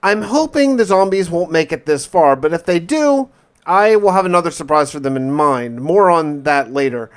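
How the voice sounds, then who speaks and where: A man's voice: on the high side, animated, normal speed, clear, resonant tone. A man narrating a survival game in character like a diary, musing aloud in a conversational way with questions to himself. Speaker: gaming video narrator and player.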